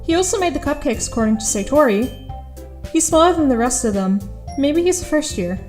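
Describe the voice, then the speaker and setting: A woman's voice: sits high, expressive, a little fast, darkish tone, soft background music. A woman playing an awkward, earnest teenage schoolgirl who narrates her thoughts in an audio drama, a little hesitant. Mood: curious, reflective.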